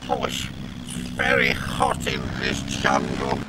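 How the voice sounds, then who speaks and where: A man voicing a childish, boastful, excitable puppet creature from children's TV, stammering and whining through electronic modulation. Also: very high voice, slow pace, very noisy. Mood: flustered. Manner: stammering, whiny, blustering.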